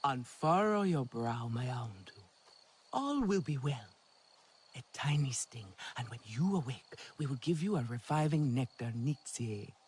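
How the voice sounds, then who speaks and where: An actor playing a playful, reassuring alien clan villager in a video game, teasing and theatrical. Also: male voice, mid-range voice, animated, measured pace, a little hiss or hum, natural voice. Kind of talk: dramatic video game acting.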